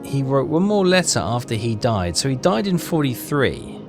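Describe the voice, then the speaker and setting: A man's voice: mid-range voice, very animated, normal speed, music in the background. A man hosting a game playthrough, casually thinking aloud and reading bits of on-screen text as he works things out.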